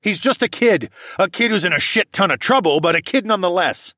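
A man's voice: medium pitch, animated, moderate pace, no room sound, studio quality, lightly acted. A man voicing a protective, exasperated adventurer father in a fantasy audiobook, speaking urgently and emphatically. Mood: defensive. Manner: emphatic, shouting over others.

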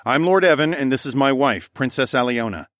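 A man's voice: mid-range voice, animated, fairly quick, a bit bright, dry recording, studio quality, pitched above the performer's own voice, close to an everyday voice. A man voicing a confident, amused young lord, the casual hero of a fantasy audiobook. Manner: cocky, chuckling, casual.

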